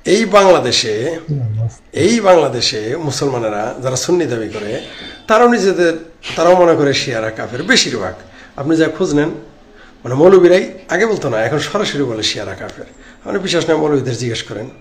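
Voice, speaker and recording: A man's voice: on the high side, sing-song, fairly quick. A man in his late fifties with a Bangladeshi accent, giving informal live commentary and answering comments in a sarcastic, rambling, repetitive way into a phone. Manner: sarcastic, rambling, repeats phrases. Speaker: live-stream political commentator. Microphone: phone at arm's length. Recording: clearly noisy phone recording.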